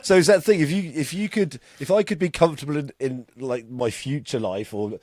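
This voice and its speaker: A man's voice: on the high side, fairly quick, darkish tone, no echo, clean studio sound. A man, co-hosting a podcast in an easygoing, laughing way. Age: 50s. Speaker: podcast co-host. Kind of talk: casual banter.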